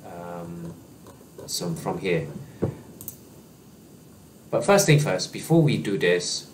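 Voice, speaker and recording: Male tutorial presenter, 30s, low register, relaxed explainer with many ums and restarts, small room, slightly distant mic. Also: takes it slowly, slight background noise. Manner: casual explaining with frequent fillers and restarts. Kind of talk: screen-share software walkthrough. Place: small indoor room at a desk.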